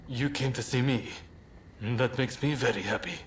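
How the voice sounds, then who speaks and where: A man playing an earnest, soft-hearted samurai leader in a video game, speaking in measured, polite, formal phrases. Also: mid-range voice, slight background noise, lightly acted. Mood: tender. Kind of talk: video game cutscene acting. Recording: game audio with clear background ambience.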